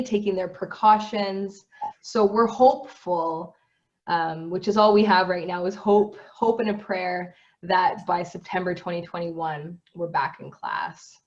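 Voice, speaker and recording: A woman's voice: fairly low pitch, lo-fi sound. A woman who works as a university recruitment officer, speaking conversationally with many fillers on a webinar. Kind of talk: webinar presentation.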